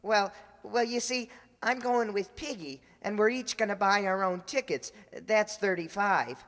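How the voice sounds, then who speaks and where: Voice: medium pitch, unhurried, a bit bright, long reverb tail, close to an everyday voice. A performer playing an eager, slangy schoolboy nephew in old-time radio comedy style, boyish and casual. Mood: sheepish, eager.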